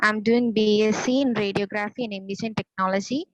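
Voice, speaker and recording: A woman's voice: medium pitch, fairly even intonation, a little fast, no echo, highs cut off. A young woman from Kerala, giving a brief student self-introduction. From Kerala, India. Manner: plain, listing items.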